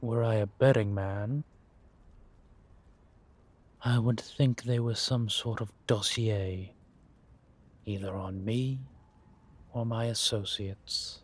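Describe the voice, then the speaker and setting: A man playing a sly, scheming vampire spy in an audio roleplay, husky and theatrical, savoring his words in drawn-out musings. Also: lowish voice, steady tone. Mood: watchful, scheming. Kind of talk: scripted audio roleplay monologue addressed to a companion.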